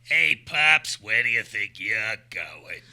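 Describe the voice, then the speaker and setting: A man's voice: on the low side, very animated, slow pace, gravelly, sharp tone, exaggerated voice. An actor in an old-time radio play, playing a menacing, streetwise tough guy with bullying wise-guy talk. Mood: suspicious bullying.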